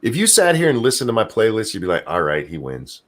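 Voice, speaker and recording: Deep-voiced man in rambling profane chat. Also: huge pitch swings, quick pace. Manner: profane, rambling, trails off searching for names. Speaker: podcast co-host. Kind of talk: casual livestream chat.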